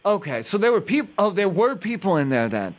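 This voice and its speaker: A man with a fairly high voice plays a prank caller on a muffled, lo-fi recording. He rambles and sounds puzzled, pressing on with question after question. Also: sing-song, a little fast. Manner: feigned confusion, rambling, persistent questioning. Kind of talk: prank phone call.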